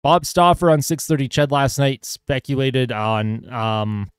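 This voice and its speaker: A man hosting a solo hockey podcast, talking casually and giving opinions, with lots of "uh"s and his voice going a bit hoarse. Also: medium pitch, measured pace, no echo. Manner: conversational, filler-heavy, opinionated. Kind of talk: solo sports commentary podcast.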